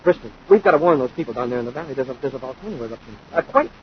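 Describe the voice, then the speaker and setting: Man acting an eager, fast-talking young space cadet. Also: medium pitch, expressive, natural voice. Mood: excited. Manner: eager, urgent. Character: earnest, excitable young male space cadet. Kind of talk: 1950s radio serial acting. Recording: noisy, dull 1950s radio recording.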